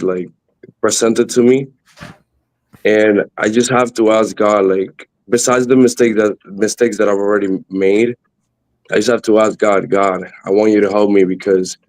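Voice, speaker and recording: A young man whose first language is Spanish, speaking earnestly in English as a podcast guest, with halting restarts. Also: on the low side, a little mellow, poor audio. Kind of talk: earnest faith testimony.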